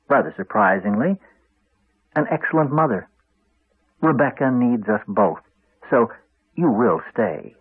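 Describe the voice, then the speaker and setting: An actor playing a cold, contemptuous husband with a Canadian accent, speaking in a deliberate, cutting, condescending way in a radio drama. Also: male voice, medium pitch, creaky, breathy edge, no echo, exaggerated voice. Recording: dull, band-limited 1980s radio recording.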